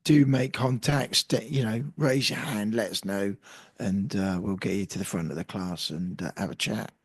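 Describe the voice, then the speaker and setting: A man co-hosting a podcast, hoarse from a cold, talking in a jokey, self-deprecating way with plenty of restarts. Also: fairly low pitch, normal speed. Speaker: podcast co-host. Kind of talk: casual banter.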